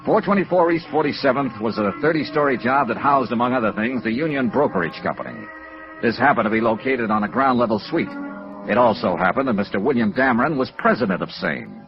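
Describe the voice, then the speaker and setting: Guy acting sardonic american radio detective, dry n clipped, lively swooping pitch, dull old recording. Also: a little fast, over music, close to an everyday voice. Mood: wry. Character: sardonic, probing male freelance insurance investigator. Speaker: lead actor playing investigator. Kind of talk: 1950s radio noir acting and narration.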